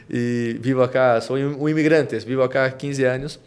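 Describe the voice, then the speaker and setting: A middle-aged man from Brazil with a Lima Spanish accent and Portuguese roots, explaining his company's work as an interview guest, often tagging his points with a quick question and restarting phrases. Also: mid-range voice, steady tone, clean tone. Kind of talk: conversational interview.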